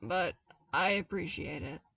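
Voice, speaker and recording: A woman's voice: lowish voice, unhurried, gravelly, sharp tone, dry recording, distant mic, heavily acted. A woman voicing a blunt, wry young male trainee, grudgingly playful, in an audio story. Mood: wry, frank. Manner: blunt, dry, grumbling.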